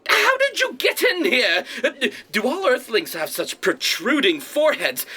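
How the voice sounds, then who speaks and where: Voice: huge pitch swings, measured pace, bright, forceful tone, slightly rough, heavily acted. An actor playing a pompous, exacting alien director with a thousand legs, barking sarcastic commands in a comedic sci-fi audio drama. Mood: haughty. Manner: theatrical, imperious, sarcastic, booming commands.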